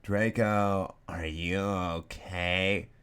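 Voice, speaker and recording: A man voicing a melodramatic, anxious gothic teenage girl in a mock-gothic, drawn-out delivery. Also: deep voice, fairly even intonation, a bit bright, smooth, voice pushed down, full character voice. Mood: gloomy concern.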